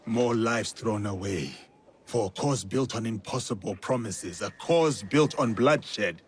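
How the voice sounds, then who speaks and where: An actor playing a stern, principled samurai warrior in a video game, speaking in a measured, firm, husky voice. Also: male voice, lowish voice, slight background noise, natural voice. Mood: stern, determined. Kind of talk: dramatic video game acting.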